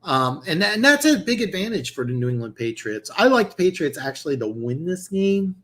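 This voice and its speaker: A man's voice: on the high side, big pitch swings, fairly quick, a bit bright. Rambling man losing his voice. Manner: rambling, many restarts and fillers. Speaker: host of a football picks show. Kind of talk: casual live-stream chat.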